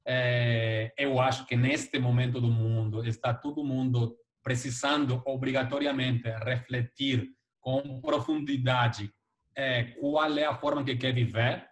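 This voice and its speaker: A man's voice: medium pitch, flat delivery, unhurried. A man with a Spanish accent in Portuguese, giving informal, reflective closing remarks and searching for his words. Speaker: filmmaker, guest speaker. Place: video call.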